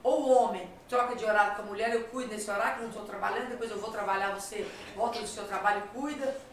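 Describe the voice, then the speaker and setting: A woman's voice: mid-range voice, fairly even intonation, fast talker, slight background noise. A Brazilian woman hosting a talk show, speaking emphatically and asking rhetorical questions. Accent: Brazilian Portuguese. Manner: emphatic, repeats phrases, asks rhetorical questions. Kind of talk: conversational advice talk. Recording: echoey room, voice from far off.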